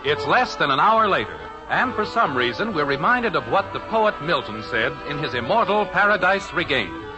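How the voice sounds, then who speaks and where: A man's voice: fairly high pitch, sing-song, moderate pace, lo-fi sound. A man giving wry, mock-literary scripted narration for a 1950s radio sitcom. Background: music.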